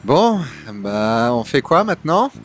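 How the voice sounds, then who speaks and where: A man's voice: mid-range voice, sing-song, slow, soft background music. A man acting in an amateur audio drama, playing an eager, jittery companion.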